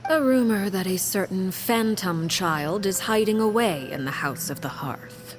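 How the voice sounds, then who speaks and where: An actress voicing a composed, formal woman who leads an orphanage and a shadowy organization in a video game, speaking in a measured, quietly menacing way. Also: mid-range voice, lively intonation, natural voice. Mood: calm. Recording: game audio with faint music.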